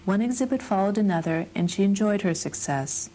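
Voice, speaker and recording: Mellow woman narrating a documentary. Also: mid-range voice, lively intonation, a little fast, rough recording.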